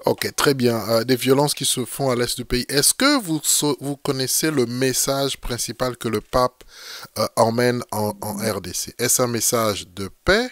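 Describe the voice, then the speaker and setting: A male radio host leads an interview, stacking questions and cutting in to push for more. His pitch is mid-range, and the studio sound is dry and close. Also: a little slow, a bit bright, no echo.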